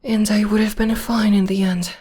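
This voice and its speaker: A woman playing a breathy, whispering witch girlfriend. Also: medium pitch, level tone, normal speed, natural voice. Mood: tender, reassuring. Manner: soft half-whispered comforting. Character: gentle young witch girlfriend, devoted, reassuring. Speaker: voice actor. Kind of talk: ASMR audio roleplay. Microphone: close mic.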